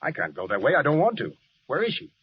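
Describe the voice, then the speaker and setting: Brash young-hearted American lieutenant. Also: male voice, medium pitch, huge pitch swings, quick pace, husky, dry recording, exaggerated voice. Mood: urgent, impassioned. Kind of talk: 1940s radio drama acting. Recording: dull, hissy vintage radio recording.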